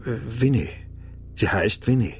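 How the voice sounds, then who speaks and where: An actor playing a troubled, subdued husband in a radio crime drama, speaking in a hesitant, reserved, brooding way. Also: male voice, lowish voice, lively intonation, a little slow. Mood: worried, hesitant. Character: troubled, subdued husband searching for his missing wife. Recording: clear background noise.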